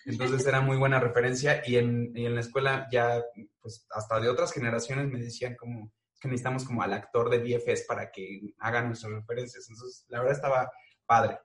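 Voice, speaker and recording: A man's voice: medium pitch, level tone, brisk, reverberant. A young man with a Mexican accent, a joking, chatty guest in a casual interview, talking about his animation and voice work. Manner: playful, joking, chatty with frequent fillers.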